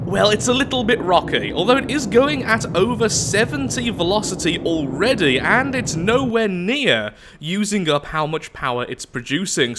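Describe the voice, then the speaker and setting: A man hosting casual gameplay commentary while sick with the flu and losing his voice, speaking in a lively, upbeat way. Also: high voice, background noise. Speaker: gaming channel host.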